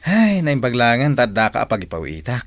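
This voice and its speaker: A woman's voice: very deep voice, very animated, brisk. A woman acting a complaining young student in a radio drama, with an Ilocano accent. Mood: subdued.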